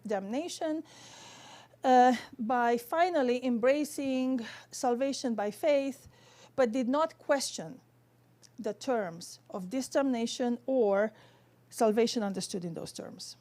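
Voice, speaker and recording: A woman with a Romanian accent giving an academic theology lecture in careful, halting, scholarly phrasing. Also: a little high, warm tone.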